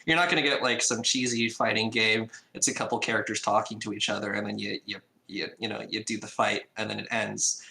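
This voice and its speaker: A man speaking as an indie game developer, casually pitching in a podcast interview and repeating phrases for emphasis. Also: steady tone, brisk, vocal fry, hardly any pauses, sharp tone, a little hiss or hum, low-quality recording.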